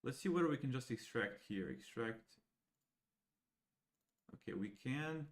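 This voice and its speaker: A young man from Serbia, a native Serbian speaker, thinking aloud as he walks viewers through code, halting and casual. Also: medium pitch, lively intonation. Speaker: AI educator. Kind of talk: live coding walkthrough.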